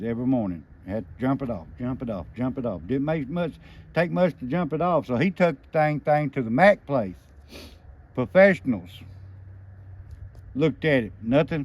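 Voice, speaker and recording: A middle-aged man with a Southern US accent telling an anecdote casually in a folksy, rambling, plainspoken way. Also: mid-range voice. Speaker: storyteller on a homesteading family channel. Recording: noisy ambient background.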